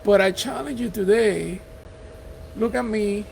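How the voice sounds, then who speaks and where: Middle-aged Puerto Rican man with an accent, high gravelly voice, earnest encouraging investor vlog, faint hum behind. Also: unhurried, darkish tone, poor audio. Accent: Puerto Rican Spanish. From Puerto Rico. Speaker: real estate investor. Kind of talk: motivational talk to camera. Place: house.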